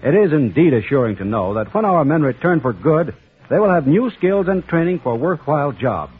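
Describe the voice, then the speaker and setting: An American man announcing from a script in formal, measured 1940s radio style, as the sponsor's spokesman. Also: fairly high pitch, very animated, husky. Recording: muffled, narrow-band vintage 1940s radio recording.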